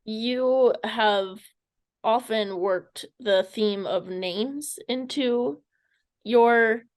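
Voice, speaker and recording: Slow-paced woman interviewer. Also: fairly high pitch. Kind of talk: conversational interview.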